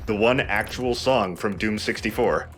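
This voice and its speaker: A man's voice: lowish voice, moderate pace, fairly bright tone. A man hosting a let's play, giving casual, joking commentary on a video game. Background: game music.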